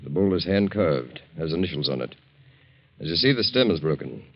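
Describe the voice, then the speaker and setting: Very deep-voiced police detective, old radio. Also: male voice, very animated, no room sound, full character voice. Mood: businesslike. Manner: measured, matter-of-fact. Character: businesslike police detective questioning a witness. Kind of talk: old-time radio drama acting. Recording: dull muffled old radio recording.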